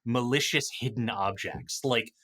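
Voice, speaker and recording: A man in a casual gaming discussion, talking as an experienced dungeon master in an animated, opinionated way. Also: medium pitch, a little slow, husky.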